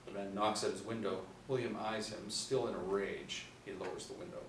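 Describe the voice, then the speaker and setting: A man's voice: fairly low pitch, fairly even intonation, a little fast. A man in his forties reading scripted stage directions as a narrator. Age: 40s. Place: small room indoors. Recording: roomy, distant mic, faint noise.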